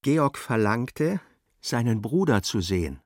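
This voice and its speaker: A man's voice: medium pitch, sing-song, slow pace, dry recording, studio quality, heavily acted. A man narrating a literary radio play in a precise, wry, dramatic storytelling manner.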